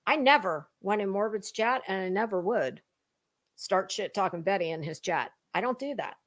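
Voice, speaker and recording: A woman talking as an online commentator in a casual, dramatic monologue, emphatic and halting, with deliberate pauses and repeated words. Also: mid-range voice, animated.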